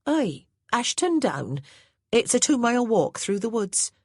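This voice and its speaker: A woman voicing an elderly, humble household servant who talks briskly in a country dialect. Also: on the high side, sing-song, breathy edge, dry recording, clean studio sound, voice pushed up, exaggerated voice. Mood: plain. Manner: brisk, says "aye" and "mum" in a country dialect.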